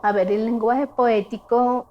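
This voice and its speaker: A woman's voice: on the high side, steady tone, highs cut off. Thoughtful woman speaking a second language. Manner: measured, thoughtful, pauses to find words. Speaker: interviewee. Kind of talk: reflective interview answers.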